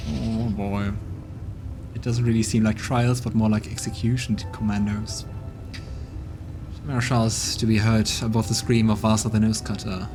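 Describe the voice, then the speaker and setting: A man's voice: lowish voice, flat delivery, normal speed. A man hosting a let's play, reading game text aloud and dropping wry, dry comments. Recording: background game music.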